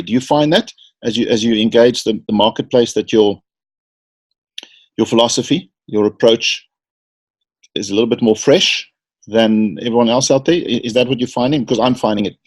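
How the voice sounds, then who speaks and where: A man's voice: mid-range voice, normal speed. A man hosting a conversational interview, asking questions and thanking the guest with open praise. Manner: affirming, thanks the guest warmly. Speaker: interview host.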